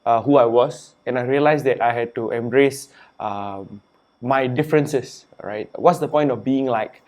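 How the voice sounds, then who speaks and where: Man from singapore, young adult, interview guest, relaxed and chatty, mid-pitched voice. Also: measured pace. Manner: relaxed and chatty, peppers speech with "you know" and "man". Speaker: interview guest, author and entrepreneur. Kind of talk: casual interview conversation.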